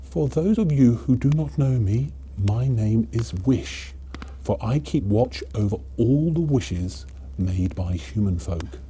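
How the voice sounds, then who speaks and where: A man's voice: mid-range voice, lively intonation, takes it slowly, mellow, slight background noise. A man voicing a confident young female elf in a storybook, giving an earnest, solemn speech. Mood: serene confidence.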